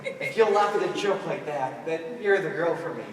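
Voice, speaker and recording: A man's voice: on the high side, moderate pace, lightly acted. A teenage boy acting a cheeky, punning prisoner in a school stage comedy, with a jokey, theatrical delivery. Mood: sweet, joking. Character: wisecracking male prisoner, cheeky punning joker. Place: school stage, recorded from the audience. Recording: echoey hall from far off, noisy.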